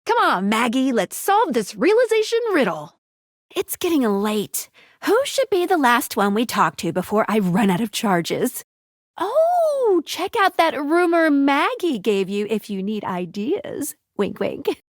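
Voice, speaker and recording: A woman's voice: on the high side, huge pitch swings, measured pace, no room sound, clean studio sound, full character voice. A woman voicing a playful, teasing young sidekick in a video game.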